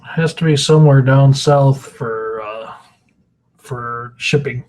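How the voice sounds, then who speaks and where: A man's voice: medium pitch, slow, a little mellow. A man playing in a tabletop game, joking crudely and chatting casually. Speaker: player.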